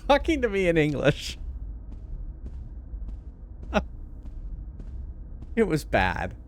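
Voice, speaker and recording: A man streaming a game live, joking, laughing often and calling out commands. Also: medium pitch.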